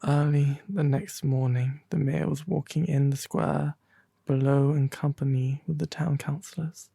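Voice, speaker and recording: A man playing a melancholy, genteel owl demon prince, reading a storybook aloud in a soft, refined, breathy ASMR voice. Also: on the high side, dry recording, full character voice. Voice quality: breathy, soft, often half-whispered. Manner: refined, gentle, formal diction.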